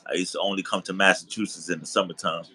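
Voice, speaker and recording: A relaxed man chats on a video call from his sofa at home, with a low and very bright voice. He circles back over his words, restarting phrases, and laughs easily as he goes. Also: quick pace, breathy edge. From the Bronx, New York. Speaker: host. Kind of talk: casual chat. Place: living room at home, on a sofa.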